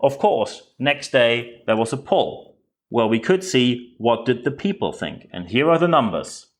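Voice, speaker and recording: A man's voice: medium pitch, big pitch swings, unhurried. A man with a German accent, speaking English as a second language, hosting a podcast and giving direct, earnest commentary with frequent repeated words. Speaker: podcast host.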